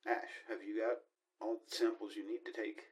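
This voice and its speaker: Male actor as sci-fi mission leader: very low pitch, hushed and measured, grave authority, audio-drama delivery. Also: level tone, natural voice. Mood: calm, commanding. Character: grave, cautious male leader of a spaceship expedition.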